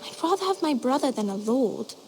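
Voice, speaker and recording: A woman's voice: high voice, sing-song, a little fast, a little mellow, slight background noise. An actress playing a caring, wistful young noblewoman, a protective older sister in a fantasy game drama. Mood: wistful, tender. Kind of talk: dramatic video game acting.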